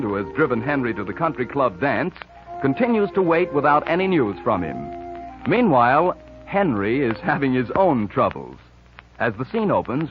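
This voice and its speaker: A man with an American accent announcing and pitching in polished, persuasive 1940s radio style. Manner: polished, persuasive, smooth phrasing. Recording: muffled vintage 1940s radio broadcast.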